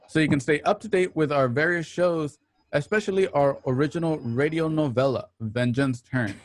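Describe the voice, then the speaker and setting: A man's voice: fairly high pitch, normal speed, a little hiss or hum. A man hosting a show, delivering a scripted introduction in a presenterly, announcing manner. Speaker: show host. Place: at home.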